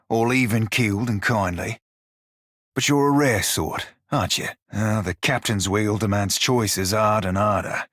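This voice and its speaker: A man playing a roguish, good-hearted creature in a video game, talking in salty dialect with a rough, husky voice. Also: fairly low pitch, fairly bright tone, no echo, clean studio sound. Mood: wry, amiable. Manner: salty pirate dialect, colloquial. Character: roguish blue-furred psychic pirate, flirty, salty, good-hearted. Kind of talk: video game character dialogue.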